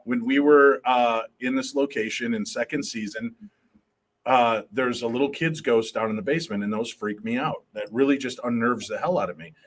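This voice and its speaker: Man in his fifties, husky, booming, animated storyteller, live podcast guest. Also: moderate pace. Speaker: paranormal investigator guest. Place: live event stage.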